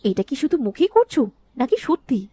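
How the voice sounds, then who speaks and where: A woman's voice: high-pitched, very animated, moderate pace, warm tone. A woman voicing a dutiful young princess in a storybook cartoon, with an earnest, regal way of speaking. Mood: commanding. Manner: earnest, insistent questioning.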